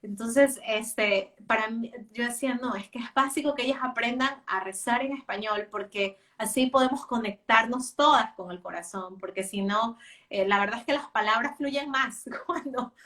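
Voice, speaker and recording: A woman's voice: a little high, normal speed, a bit bright, far from the mic. A woman, a native Spanish speaker, talking as a guest about homeschooling, with many fillers and restarts. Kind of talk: casual interview talk.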